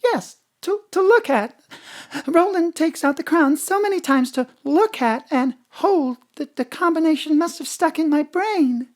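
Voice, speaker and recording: An actress playing a president's elegant wife, airy, theatrical and evasive, in an audio drama. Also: female voice, high-pitched, expressive, measured pace. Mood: evasive, nervous.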